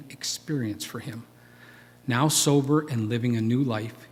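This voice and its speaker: A man reading a personal story aloud to a congregation in church, as a church reader. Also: mid-range voice. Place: church hall. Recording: echoey hall with long reverb.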